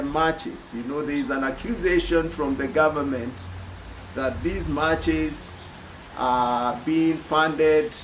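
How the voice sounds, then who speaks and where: A middle-aged Kenyan man with a Kenyan accent giving thoughtful personal commentary, pausing often with "uh". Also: on the high side, slight background noise, rough recording. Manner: thoughtful, reflective, many pauses with "uh".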